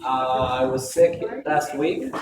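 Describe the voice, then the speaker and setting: A hoarse male lecturer teaching a class, repeating key points and often checking in with "okay?". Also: medium pitch, takes it slowly. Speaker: university lecturer. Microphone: close microphone. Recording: echoey classroom from far off, noisy.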